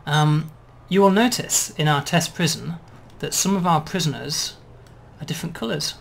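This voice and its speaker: A man demonstrating and explaining a game build in a relaxed way, checking in with "right?" as he goes. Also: on the high side, normal speed, vocal fry, slight background noise. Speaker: game developer demoing.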